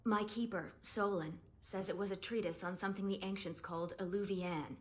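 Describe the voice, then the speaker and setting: A woman's voice: mid-range voice, fairly even intonation, a little fast, lightly acted. An actress playing a proud, guarded young elven woman in a fantasy game, speaking earnestly and formally. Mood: earnest, solemn. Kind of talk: scripted fantasy game dialogue.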